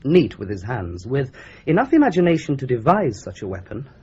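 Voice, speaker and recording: A relishing, teasing police doctor whose voice swoops up and down as he lays out a murder method. His voice is of middle pitch and sounds slightly muffled, as on an old radio drama recording. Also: a little fast, breathy edge, exaggerated voice. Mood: explaining. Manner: lively, teasing, demonstrative.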